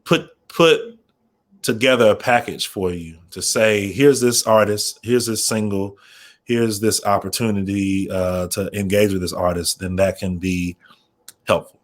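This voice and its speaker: A man's voice: on the low side, steady tone, measured pace. An American man speaking as a radio program manager on a panel, explaining informally and often restarting phrases as he thinks.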